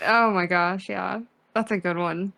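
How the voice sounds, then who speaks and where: A woman's voice: medium pitch, steady tone, unhurried, creaky, sharp tone. A woman hosting a podcast, asking questions in a casual, playful way, teasing her guest and laughing often. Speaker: podcast host. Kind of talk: casual interview chat.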